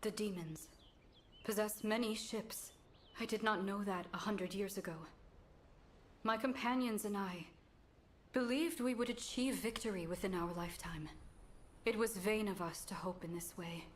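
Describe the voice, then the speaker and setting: A woman's voice: mid-range voice, normal speed, pauses often, a little hiss or hum, natural voice. An actress playing a solemn woman warrior from long ago in game cutscene dialogue, speaking in formal, old-fashioned phrases.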